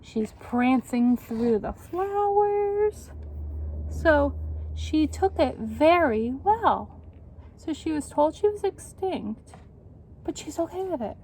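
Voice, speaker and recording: A woman with a fairly high voice reads a picture book aloud for children, taking it very slowly. She is expressive and encouraging, like a teacher checking that young listeners follow along. There is some light noise behind her. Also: low-quality recording.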